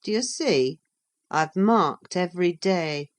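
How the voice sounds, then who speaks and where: A woman's voice: on the low side, expressive, takes it slowly. A woman reader voicing a sullen, resentful young man who speaks bluntly and stubbornly.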